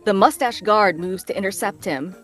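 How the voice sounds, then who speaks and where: A woman's voice: mid-range voice, moderate pace, soft background music. A woman narrating stage directions in a scripted table read.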